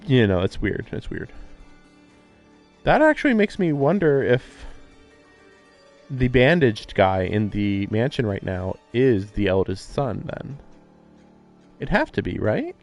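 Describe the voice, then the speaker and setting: A man giving casual live-stream commentary on a game, thinking aloud in halting, musing fragments. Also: mid-range voice, big pitch swings. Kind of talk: casual live commentary on a visual novel playthrough. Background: music.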